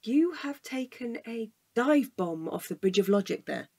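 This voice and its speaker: Dry, deadpan woman, slightly husky. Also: on the high side, a little slow, heavily acted. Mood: matter-of-fact. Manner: deadpan, matter-of-fact. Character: dry, exasperated, level-headed woman, the sensible partner. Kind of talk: comic audio drama dialogue.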